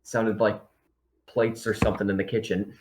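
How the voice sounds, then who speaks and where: Low-voiced man playing a tabletop game. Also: flat delivery, moderate pace, noisy background. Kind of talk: casual game chat, acting out own lines.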